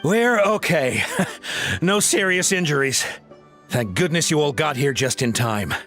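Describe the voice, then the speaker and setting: A man's voice: fairly high pitch, expressive, a little slow, bright, forceful tone, faint music behind. An actor playing a scrappy, emphatic male fighter in a video game. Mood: relieved.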